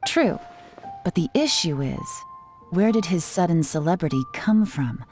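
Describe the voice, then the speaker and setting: An actress playing a poised, shrewd young woman investigator in a video game, measured and slightly theatrical, with courteous phrasing. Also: lowish voice, very animated, loud music behind the voice. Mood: shrewd, skeptical. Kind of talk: scripted video game voice acting.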